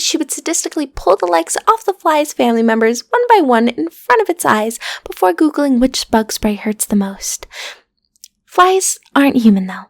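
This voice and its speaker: A frazzled, sarcastic female matchmaker at a shady agency played by a woman in a comedic audio roleplay. Her voice sits fairly high with a strong creaky edge, recorded close and dry. She chats straight at the listener, tossing out flippant asides and mutterings. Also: no echo, full character voice. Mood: wry, flippant.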